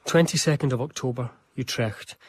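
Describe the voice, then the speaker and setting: A man playing an earnest young gentleman diarist, narrating a period radio drama in a measured, confiding, literary way. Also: medium pitch, slightly rough, natural voice. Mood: admiring, wistful.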